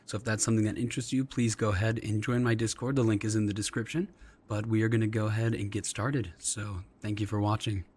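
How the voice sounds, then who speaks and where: A man hosting a casual livestream, his voice slightly scratchy and subdued as he recovers from losing it, hesitant and often clearing his throat. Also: lowish voice, flat delivery, fast, darkish tone. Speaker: streamer, host. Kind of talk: casual livestream chat with viewers and fellow players. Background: music.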